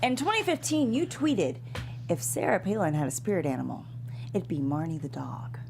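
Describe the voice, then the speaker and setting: A woman's voice: fairly low pitch, animated, normal speed, creaky, over music. A woman playing a pompous, indignant news anchor in their forties in a comedy table read, formal and confrontational.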